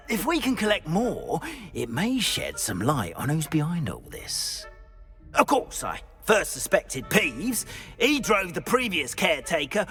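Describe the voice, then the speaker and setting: Eccentric, nervy older British caretaker for a fantasy game; hugely animated pitch, flowery hesitant speech, music behind. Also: male voice, heavily acted. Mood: excited, grateful. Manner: flustered, hesitant, florid old-fashioned phrasing. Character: jittery, eccentric older male school caretaker, theatrical and fearful. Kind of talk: video game character acting.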